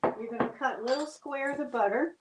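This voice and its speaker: A woman's voice: high voice, normal speed, echoey room, background noise. An older woman from New Jersey, a guest cook explaining recipe steps plainly in a homey, chatty way. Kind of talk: cooking demonstration chat.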